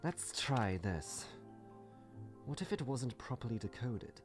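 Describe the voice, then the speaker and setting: A man's voice: medium pitch, lively intonation, takes it slowly. A man playing a witty, unflappable female archaeologist adventurer in a comic dub, full of wry quips and muttered asides. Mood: musing. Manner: wry, quipping, talks to self. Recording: music behind the voice. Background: clear music.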